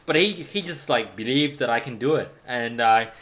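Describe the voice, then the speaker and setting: A man's voice: medium pitch, moderate pace, fairly bright tone. A man in his thirties whose first language is Chinese, an art teacher chatting casually while drawing, with lots of restarts and laughter. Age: 30s. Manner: rambling, restarts, laughs often.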